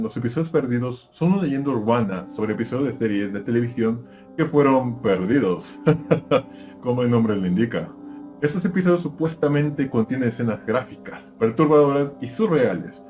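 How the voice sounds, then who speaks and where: A man's voice: medium pitch, darkish tone, natural voice. A man playing a nostalgia-obsessed cartoon-review YouTuber, presenting scripted mystery commentary straight to his viewers. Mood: explanatory. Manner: presenter-like, addressing viewers directly.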